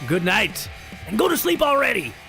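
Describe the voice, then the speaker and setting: A man voicing a loud, showy, bossy comedy persona for a late-night radio show in an audio drama. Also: high-pitched, big pitch swings, normal speed, gravelly, over music, full character voice. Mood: hyped, bossy.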